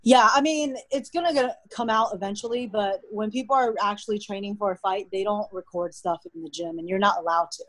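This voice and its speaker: A young American woman whose first language is Mandarin, telling stories in a relaxed, chatty way as a podcast guest. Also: medium pitch, brisk, hardly any pauses, no room sound. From United States. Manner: relaxed, chatty storytelling with frequent "like". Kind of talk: casual interview conversation.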